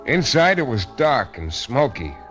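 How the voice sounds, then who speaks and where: A hard-boiled American private eye from vintage radio. He tells his case wryly in a mid-pitched voice. Dramatic music runs strongly behind the storytelling. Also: very animated, a little slow, fairly bright tone. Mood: wry narration. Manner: wry, world-weary storytelling. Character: wry, unconvinced hard-boiled male private detective narrating his case. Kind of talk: 1940s radio detective drama narration.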